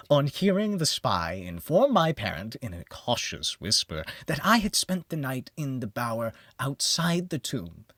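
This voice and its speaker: A man narrating a gothic horror audiobook in a theatrical manner that moves between hushed near-whispers and heightened exclamation. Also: a little high, dry recording, studio quality. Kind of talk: dramatic scripted horror narration.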